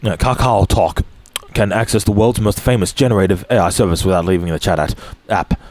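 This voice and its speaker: Lowish male voice reading news haltingly. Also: darkish tone, dry recording. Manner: halting, frequent restarts and throat clearing. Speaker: streamer reading news aloud. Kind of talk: reading articles aloud with asides.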